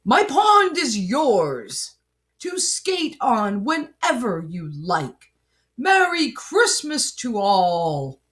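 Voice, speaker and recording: A woman reader acts out a kindly whiskered old man from a storybook. He speaks slowly and heartily, with confident emphasis and a lifted pitch. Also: wildly swooping pitch, fairly bright tone, heavily acted. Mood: hearty, generous.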